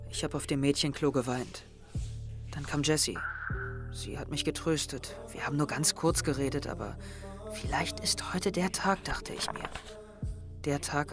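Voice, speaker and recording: An earnest teenage boy acted by a man in an audio drama, guilt-ridden and hesitant. His youngish voice sits fairly high and stays subdued, with prominent music behind it. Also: natural voice.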